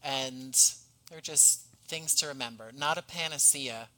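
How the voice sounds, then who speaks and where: A man's voice: medium pitch, unhurried, bright, forceful tone, rough recording. A man speaking as a podcast guest in a casual conversation, earnest and self-deprecating.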